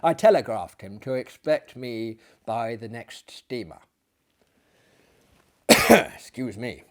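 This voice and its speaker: A man giving a dramatic, solemn narration of gothic fiction, with deliberate pauses and phrases that drop to a hush. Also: medium pitch, expressive. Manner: theatrical, solemn, with hushed drops and deliberate pauses. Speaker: audiobook reader and performer.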